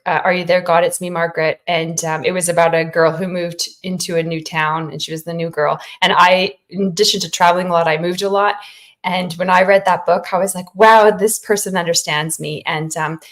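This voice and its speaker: A middle-aged woman, an author, giving thoughtful, encouraging answers to questions in a conversational way. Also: lowish voice. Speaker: children's author, interview guest.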